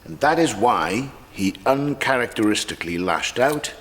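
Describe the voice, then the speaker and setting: A man in a British TV drama delivering a formal, measured report to a court. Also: medium pitch, expressive, fairly bright tone, big-room sound. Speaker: court welfare officer giving a report.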